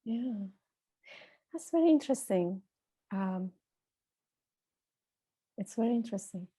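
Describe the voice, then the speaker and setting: A woman's voice: medium pitch, lively intonation, slow, mellow, slightly rough. A woman with a Persian accent hosting a conversational interview, hesitant with many fillers.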